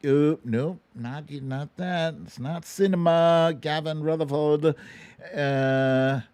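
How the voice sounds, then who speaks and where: A man's voice: a little high, takes it slowly, a little mellow, clean tone, no room sound. A man hosting a casual livestream quiz, chatty and playful as he banters and calls out to viewers. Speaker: host of a live online show.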